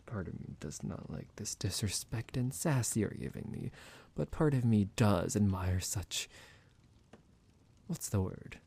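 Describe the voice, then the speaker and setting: A man's voice: on the low side, lively intonation, takes it slowly, vocal fry, pauses often, dark tone, slightly rough, heavily acted. A man playing a smug, psychotic vampire doctor in an ASMR roleplay, condescending, theatrical and menacing toward his captive. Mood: intrigued, grudging.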